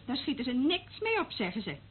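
A woman's voice: high-pitched, sing-song, fairly quick. An actress playing a brash, flippant woman who works as an eavesdropper for a military junta in a Dutch radio drama, blunt and mocking. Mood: matter-of-fact. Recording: tinny, narrow-band old radio recording, faint noise.

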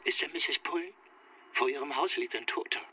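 An actor playing a shifty, secretive caller on the telephone in a crime radio drama, speaking in a guarded, insinuating way. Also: male voice, mid-range voice, fairly quick. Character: shifty, secretive neighbour phoning about a corpse. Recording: noisy crackling phone line.

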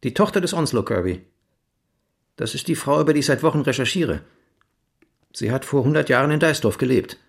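An actor playing a casual, dismissive writer husband in an audio drama, with a laconic, offhand way of talking. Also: male voice, medium pitch, animated, brisk, vocal fry, pauses often, husky. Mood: intent. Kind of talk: German audio drama acting.

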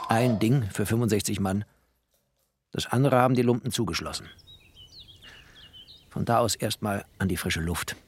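A man's voice: on the low side, creaky, slightly rough. A man narrating expressively in the first person, literary in style, with a wry, theatrical manner.

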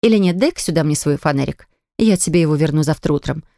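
A woman's voice: low-pitched, fast, creaky, warm tone, no echo, clean studio sound, voice pushed down. A woman voicing a tired, reproachful mother scolding her son in a children's storybook. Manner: sighing, reproachful. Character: weary, scolding mother of a young boy. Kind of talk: audiobook character reading.

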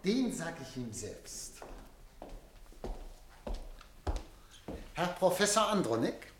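An actor in a radio play playing a self-important, insinuating newspaper director, ingratiating and full of meaningful hesitations. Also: male voice, a little high, very animated, a little slow, background noise. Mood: courtly. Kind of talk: German radio drama acting.

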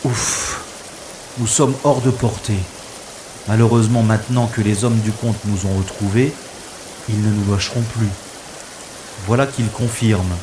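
A man's voice: lowish voice, steady tone, close to an everyday voice. A man playing a sardonic special agent on the run in a French audio drama, talking half to himself. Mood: relieved, then tense. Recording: noisy, with rushing water effects.

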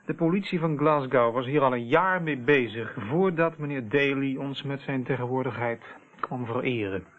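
An actor playing a matter-of-fact, proud police officer in a Dutch radio play, on an old narrow-band recording. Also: male voice, mid-range voice, normal speed, natural voice. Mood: pointed.

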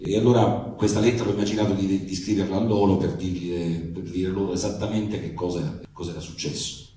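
A man's voice: sits low, fairly even intonation, dark tone, a little hiss or hum. A man informally introducing a reading, restarting and correcting his phrases as he goes. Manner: halting, self-correcting phrasing. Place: echoey room, picked up from afar. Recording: very echoey room, distant microphone.

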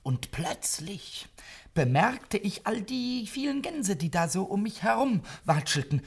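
A man's voice: sits high, big pitch swings, measured pace, breathy edge, exaggerated voice. An actor playing a nervous male thief who stammers and pleads as he confesses, in 1950s radio drama style. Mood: nervous confession.

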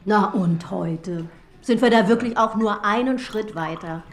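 A woman's voice: mid-range voice, unhurried, close to an everyday voice. An actress in a radio play as an articulate, critical woman with a dry, conversational delivery. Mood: scornful, amused.

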